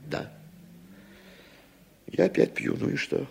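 A man's voice: slow, darkish tone, lightly acted. A man acting a cowardly, hard-drinking playwright in a 1960s Soviet radio drama, theatrical, sarcastic and full of self-contempt. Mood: sullen, sarcastic. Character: cowardly, bitter, hard-drinking male playwright turning informer. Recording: reverberant 1960s radio play recording.

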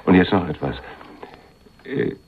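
An actor playing a menacing, coolly businesslike man in a German radio crime drama, formal, deliberate and drily sardonic. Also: low-pitched, expressive, slightly rough, heavily acted. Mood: probing, businesslike. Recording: dull, muffled radio recording.

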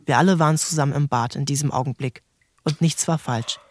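A man narrating a literary novel in a measured, storytelling manner. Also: fairly high pitch, a little hiss or hum. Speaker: audiobook narrator.

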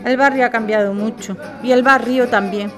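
A woman plays a widowed mother with a grown daughter, full of nostalgia. Her voice is a little high, with light music playing behind this audio drama. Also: steady tone, moderate pace, natural voice. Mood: nostalgic, worried.

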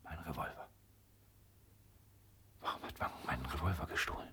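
An actor playing a reserved, solitary European gentleman in a radio drama, moving between raised commands and hushed murmurs. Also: a bass voice, moderate pace, heavy background noise. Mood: tense, suspicious.

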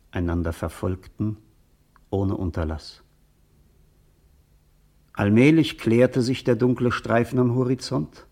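A low, husky male narrator for a literary radio play. He delivers lyrical prose in a contemplative, measured literary style. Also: a little mellow.

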